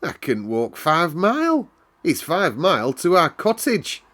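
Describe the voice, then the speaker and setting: A man's voice: on the high side, wildly swooping pitch, measured pace, a bit bright, voice pushed up, full character voice. A man voicing a cheerful, chatty young Yorkshire housemaid in broad dialect for a children's storybook. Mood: chatty, proud. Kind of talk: children's storybook read-aloud.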